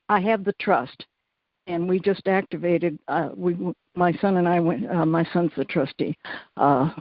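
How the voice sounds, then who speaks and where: A woman's voice: on the low side, no room sound. A woman from Colorado calling in with a question, hesitant and full of restarts. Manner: hesitant, many fillers and restarts. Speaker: caller. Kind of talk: phone-in question. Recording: dull lo-fi call audio.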